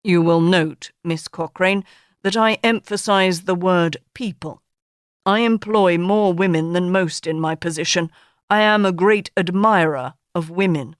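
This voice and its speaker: A woman's voice: fairly low pitch, unhurried, clear, resonant tone, no room sound, clean studio sound, close to an everyday voice. A woman voicing a pompous, grandiose middle-aged male tycoon in an audiobook, speaking in a declaiming, orotund manner.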